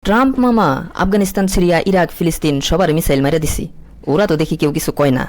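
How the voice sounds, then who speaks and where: A man as a high-pitched whiny cartoon coward. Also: animated, rapid-fire, darkish tone, slight background noise. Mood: boastful, confused. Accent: Bangladeshi. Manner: colloquial, whining, pleading. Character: whiny, cowardly, trigger-happy cartoon politician, a nephew sidekick. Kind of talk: comic animated satire dubbing.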